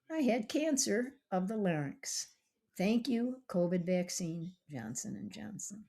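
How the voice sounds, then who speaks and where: Gravelly low-voiced woman doctor rambling. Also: a little mellow. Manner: rambling, chatty, coaxing listeners to call and text. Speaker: doctor hosting a podcast. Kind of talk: casual solo podcast monologue.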